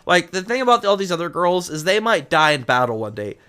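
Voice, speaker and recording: Rambling male streamer, higher-pitched voice. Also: very animated, fairly quick, sharp tone. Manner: rambling, jumps between asides, repeats himself. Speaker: livestream host. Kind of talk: casual chat with viewers, reading comments.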